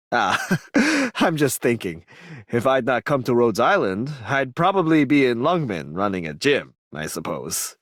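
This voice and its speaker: A man's voice: mid-range voice, dry recording, studio quality, close to an everyday voice. A man voicing an easygoing young martial artist in a video game, reflective and wry. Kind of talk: video game character voice lines.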